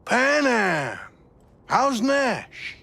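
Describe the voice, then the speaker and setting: A menacing female gang dealer in a video game. She drawls slowly and mockingly, with a creaky edge and pitch that swings widely. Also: sing-song, fairly bright tone, no echo, exaggerated voice. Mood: mocking, shady. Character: sly, menacing gang dealer buying smuggled cargo. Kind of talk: video game cutscene acting.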